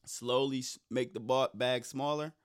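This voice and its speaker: A man in casual comedic chat whose voice is going hoarse, riffing loosely and cracking profane jokes. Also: medium pitch, steady tone, a little slow. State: losing his voice.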